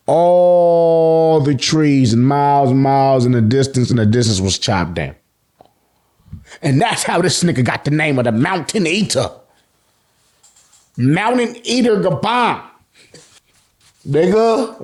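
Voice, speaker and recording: An American man giving an excited, slangy fan recap, emphatic and repeating phrases for effect, with casual swearing. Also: fairly high pitch. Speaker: host of an anime and manga review channel.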